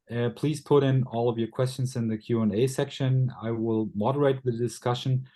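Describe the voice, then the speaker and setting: A man moderating a webinar, welcoming the speakers warmly, with hesitant fillers. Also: medium pitch.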